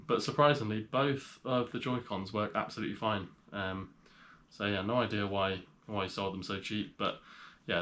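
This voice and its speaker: A man with a cold talks in a slightly nasal, fairly low voice. He rambles in a relaxed, even tone with little rise and fall, pausing often as he shows things to the camera, with faint noise behind him. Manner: relaxed, rambling, with frequent pauses. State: has a cold. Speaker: reseller vlogger. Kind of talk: casual vlog talk showing items to camera.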